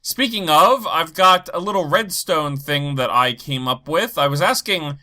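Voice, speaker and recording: Man, stuffy from a cold, low energy, rambling, bright voice. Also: lively intonation, unhurried. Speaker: Minecraft let's play host. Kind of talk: casual gameplay commentary.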